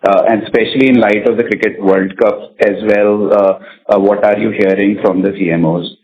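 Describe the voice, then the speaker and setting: A man, a financial analyst politely and haltingly asking questions on an earnings call. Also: on the low side, fairly even intonation, darkish tone. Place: conference call. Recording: dull band-limited call audio.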